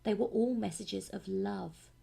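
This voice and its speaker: A woman delivers scripted narration like an audiobook. Her storytelling is measured and expressive, in a mid-pitched voice with a husky, creaky texture. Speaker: voice actor narrating demo reel.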